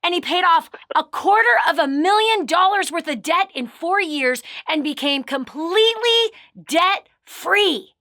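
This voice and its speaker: High bright emphatic woman host. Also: lively intonation, a little slow, no echo, studio quality. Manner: emphatic, preachy, swells louder on key words. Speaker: radio show host. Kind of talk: motivational coaching talk.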